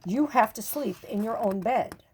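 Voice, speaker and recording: A woman's voice: fairly high pitch, animated, measured pace, darkish tone, a little hiss or hum. A woman voicing a firm, weary father of a small boy in a storybook, stern and admonishing.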